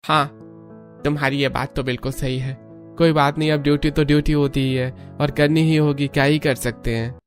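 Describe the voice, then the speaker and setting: Man acting a high-voiced obliging young neighbor. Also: flat delivery, moderate pace, close to an everyday voice. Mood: resigned. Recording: music under the voice.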